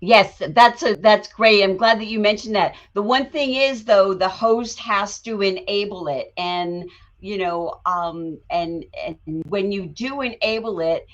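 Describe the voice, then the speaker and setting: Woman co-host of a live talk show, warm and chatty, slightly muffled sound. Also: mid-range voice, unhurried. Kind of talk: casual chat.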